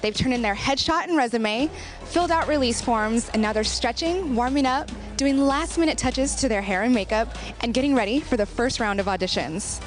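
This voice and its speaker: A woman's voice: on the high side, normal speed. A woman hosting, narrating scripted recaps and interviewing dancers in a polished, upbeat manner. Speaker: host and interviewer. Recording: music bed under voice.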